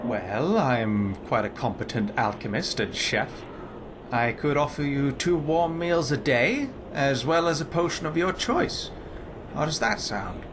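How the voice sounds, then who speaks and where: A man voicing a polite, earnest lizard-folk lawman and alchemist in a fantasy game, speaking formally and in measured phrases. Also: medium pitch, animated, close to an everyday voice. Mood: businesslike. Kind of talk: scripted fantasy game dialogue. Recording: clear ambient noise behind the voice. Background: game ambience.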